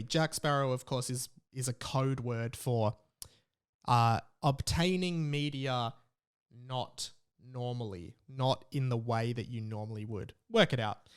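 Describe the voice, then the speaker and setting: A man's voice: mid-range voice, unhurried, clean tone. An Australian man hosting a podcast in a casual, rambling way, reading out messages and breaking off for his own asides. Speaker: podcast host.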